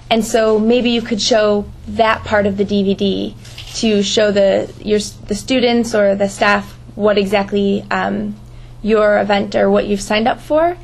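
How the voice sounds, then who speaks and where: A woman's voice: mid-range voice, gravelly. A woman speaks as a host mentor on a conference call. She talks informally in a mid-range voice and comes across as encouraging and enthusiastic as she offers advice.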